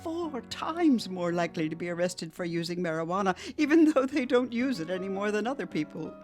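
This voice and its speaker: A woman's voice: medium pitch, very animated, a little fast, a little mellow, faint music behind, natural voice. An actress playing a gushing, smug establishment woman hosting a celebration in a satirical audio drama. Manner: theatrical, polite society hostess.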